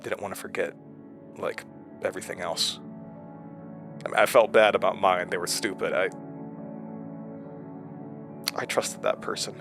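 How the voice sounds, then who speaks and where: A man playing a hesitant, haunted investigator in an intimate horror audio drama, with a low-key, earnest delivery. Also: steady tone, music in the background. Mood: wistful, halting. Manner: halting, stammering in dialogue, low-key and earnest.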